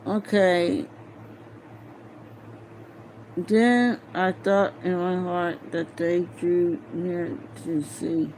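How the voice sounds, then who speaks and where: A woman reading a novel aloud informally, halting and stumbling over words, with muttered casual asides to herself. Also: fairly low pitch, steady tone, darkish tone, a little hiss or hum, rough recording. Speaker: amateur reader of a novel aloud.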